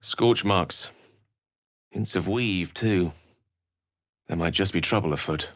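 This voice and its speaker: An actor playing an eloquent, theatrical wizard in a fantasy video game, learned, courtly and wry, with articulate, bookish phrasing. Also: male voice, low voice, slow pace, fairly bright tone, dry recording, clean studio sound, exaggerated voice. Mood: intrigued.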